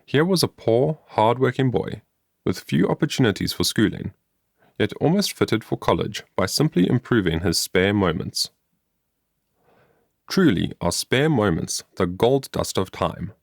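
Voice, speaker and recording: Guy narrating an old moral tale, lowish creaky voice, measured, really dry recording. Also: big pitch swings, no room sound. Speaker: audiobook narrator. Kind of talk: scripted story narration.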